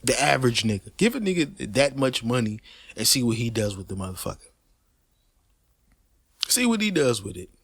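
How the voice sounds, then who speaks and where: A man's voice: medium pitch, moderate pace, long pauses, breathy edge, no echo, clean studio sound. A man delivering an emphatic, unscripted opinion rant, swearing freely and restarting words often. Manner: animated, emphatic and repetitive, with frequent restarts and stutters; swears freely, street slang. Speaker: host of a personal commentary channel.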